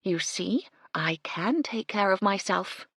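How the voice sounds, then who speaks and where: A woman voicing a composed, self-assured English lady of the house in a storybook, measured and commanding. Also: medium pitch, expressive, fairly bright tone, husky, no echo, clean studio sound, pitched above the performer's own voice, exaggerated voice. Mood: composed, musing.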